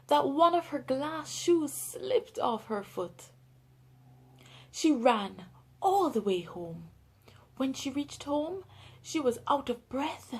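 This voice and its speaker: A woman with a Jamaican accent narrating a children's storybook in an expressive, deliberate way. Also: on the high side, breathy edge. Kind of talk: scripted storybook read-aloud for young children.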